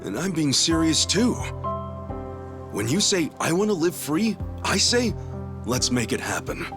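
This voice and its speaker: A man's voice: on the high side, very animated, unhurried. A man voicing an earnest, awkward middle-aged ex-yakuza in a video game drama, blurting and self-deprecating. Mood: earnest, rousing. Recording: loud background music.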